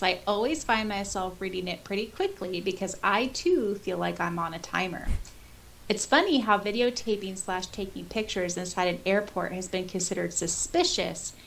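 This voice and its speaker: Casting: American female podcast co-host, 30s, casual animated chatter, noticeable hiss on the track. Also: on the low side, poor audio. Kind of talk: casual chat, reading out and reacting to listener comments. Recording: clear background noise with hiss.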